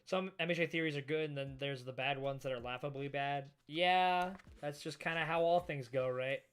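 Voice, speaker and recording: A man live-streaming a casual, excitable reaction read-through, swearing freely as he chats with viewers. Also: fairly high pitch, normal speed, smooth. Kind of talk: casual livestream reaction chat while reading manga.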